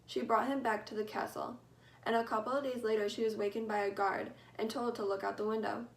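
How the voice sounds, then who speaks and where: Deadpan teen girl narrating a made-up land. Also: fairly high pitch, a little fast, rough recording. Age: teens. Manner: deadpan, mock-formal storytelling. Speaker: student presenter. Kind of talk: mock-serious school presentation narration.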